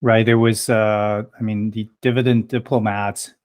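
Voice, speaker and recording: A man in his thirties, from Taiwan and raised in Canada, talks as a podcast guest. His voice sits fairly low, and his speech is hesitant and full of restarts. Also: little pitch movement, unhurried, darkish tone. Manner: hesitant, many fillers and restarts. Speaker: guest, blogger. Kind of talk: casual interview answers.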